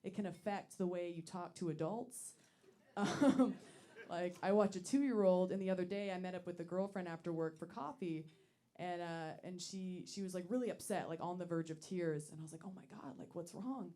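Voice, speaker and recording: Woman, late 20s, low voice, dry self-mocking stand-up delivery, lots of ums. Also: normal speed. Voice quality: deep voice for a woman. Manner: deadpan, self-deprecating, with many ums. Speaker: stand-up comedian. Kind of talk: stand-up set. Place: comedy club stage.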